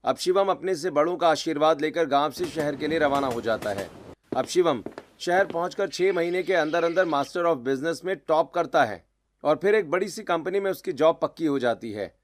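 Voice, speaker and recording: A man's voice: on the high side, moderate pace, a little hiss or hum. A man reading scripted narration of a family story as the storyteller.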